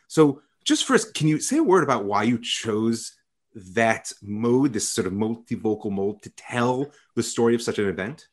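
A man's voice: mid-range voice, very animated, normal speed. Man, rabbi, interview host, effusive, thoughtful, lots of um and restarts. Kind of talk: conversational interview.

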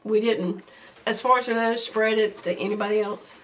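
A woman's voice: on the high side, steady tone, moderate pace. A woman co-hosting a garden radio show, speaking in brief, matter-of-fact casual chat. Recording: distant mic.

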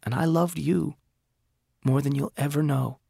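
A man reading aloud a dying mother's tender farewell letter to her son in a gentle, earnest voice. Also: on the high side, steady tone, a little slow, gravelly, husky, no room sound, studio quality, voice pushed up, heavily acted.

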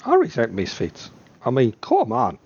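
A man from England co-hosting a podcast, teasing the others with a wry edge. His husky voice swings widely up and down, and he laughs often. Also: mid-range voice, wildly swooping pitch, normal speed, darkish tone, lo-fi sound. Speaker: podcast co-host. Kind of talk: casual podcast chat.

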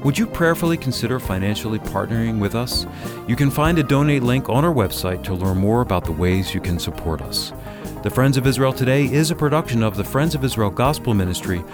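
A man's voice: mid-range voice, lively intonation, a little fast. A man reading scripted closing announcements as the announcer of a radio program. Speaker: radio announcer and executive producer. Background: music.

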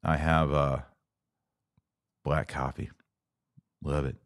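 Deep-voiced man chatting on a livestream. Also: flat delivery, slow pace, gravelly, dry recording, clean studio sound. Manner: informal, emphatic, repeats phrases for emphasis. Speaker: podcast host. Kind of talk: casual livestream chat answering viewer comments.

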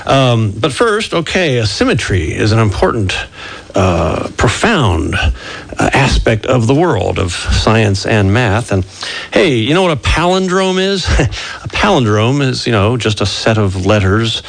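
A man's voice: medium pitch, very animated, unhurried, gravelly. A chatty American man co-hosting a radio show in casual, jokey talk. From United States. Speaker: co-host.

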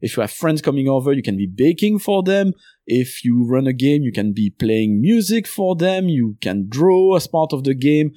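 A man's voice: medium pitch, big pitch swings, normal speed, dark tone, dry recording, clean studio sound. A man from Belgium whose first language is French, speaking English with a Belgian French accent as a guest in a casual interview, talking at length with many filler sounds. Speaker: podcast host and game designer guest.

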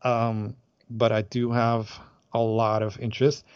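A man's voice: mid-range voice, flat delivery, unhurried, darkish tone, smooth, no room sound, lo-fi sound. A man from Aruba who organizes group trips, chatting in an interview with many hesitant fillers and restarts. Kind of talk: casual interview chat.

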